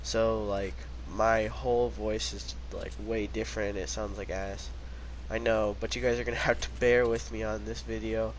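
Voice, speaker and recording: A man's voice: lowish voice, flat delivery, normal speed, a little hiss or hum, poor audio. A teenage boy with a stuffy, congested voice from a cold, casually telling a rambling storytime and quoting himself with lots of "I was like.". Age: teens. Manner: rambling, many "I was like" quotes. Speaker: vlogger.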